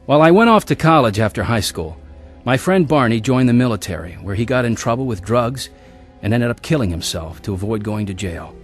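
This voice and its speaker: A man's voice: mid-range voice, lively intonation, a little fast, soft background music, natural voice. An actor narrating and playing a young man looking back on his wild youth in a radio drama.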